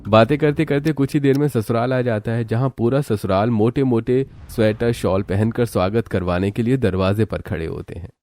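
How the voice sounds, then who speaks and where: A man reading scripted narration for an animated family story. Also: mid-range voice, moderate pace, few pauses.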